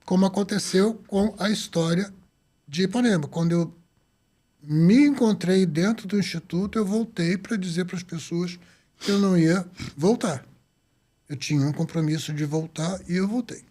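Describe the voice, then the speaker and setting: A man in his seventies from the Azores, a doctor telling his story in an interview, lively and acting out the dialogue he recalls. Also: high voice, normal speed. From Azores, Portugal. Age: 70s. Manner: lively, acts out quoted dialogue. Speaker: doctor guest.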